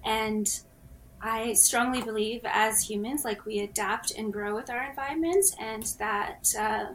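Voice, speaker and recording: A woman's voice: on the high side, measured pace, fairly bright tone, rough recording. Young woman, thoughtful, faint background noise. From Canada, born in Egypt. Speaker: roundtable guest, PhD researcher. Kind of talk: casual self-introduction.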